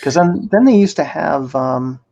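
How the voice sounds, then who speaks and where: A man's voice: on the high side, animated, unhurried, creaky, mellow. An American man co-hosting a casual livestream, easygoing and agreeable in the way he talks. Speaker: livestream co-host. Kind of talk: casual chat. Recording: slightly dull lo-fi stream audio.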